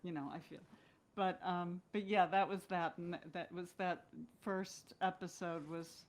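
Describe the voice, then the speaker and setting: Low-pitched woman in casual conversation. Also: steady tone, a little slow. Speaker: guest, stage and screen actress.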